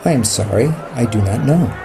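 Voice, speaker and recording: An actor playing a polite holographic sales bot with stilted, formal phrasing in a radio play. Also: male voice, mid-range voice, lively intonation, a little fast, creaky, music in the background. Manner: stiff, formal, uncontracted phrasing.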